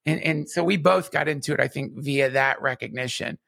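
A middle-aged man talking in a casual podcast conversation, animated and rambling, with many restarts and trailing asides. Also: mid-range voice, brisk, gravelly, no room sound, clean studio sound. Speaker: podcast co-host.

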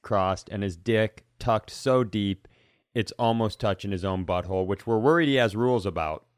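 A man's voice: on the low side, moderate pace, clean tone, no room sound, clean studio sound. A man bantering casually as a podcast co-host, joking and teasing in a crude way.

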